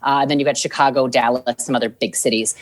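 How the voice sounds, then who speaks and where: A woman in her forties from Minnesota, a TV news anchor, telling stories in an interview and acting out quotes with a laugh. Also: fast. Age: 40s. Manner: animated storyteller, acts out quotes with lively pitch jumps; laughs easily while talking. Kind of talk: conversational interview.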